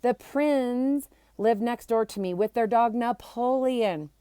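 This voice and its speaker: A woman's voice: on the high side, slow pace, mellow, clear, resonant tone, dry recording, pitched above the performer's own voice. A self-assured little schoolgirl from a storybook, voiced by a woman reader, holds forth with theatrical confidence. She is bossy and impatient and declares things firmly, in a close, dry sound. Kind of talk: children's storybook character reading.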